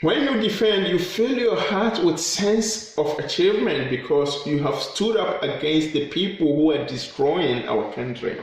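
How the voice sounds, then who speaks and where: A man with a Ghanaian accent, a campaigner urging listeners to fight corruption in a fervent appeal to camera, repeating phrases for emphasis. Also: a little high. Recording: very echoey room.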